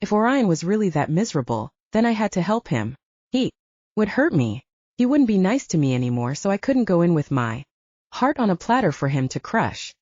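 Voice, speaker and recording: Female audiobook narrator, low voice, animated first-person telling; dry, dull lo-fi sound. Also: brisk, no echo.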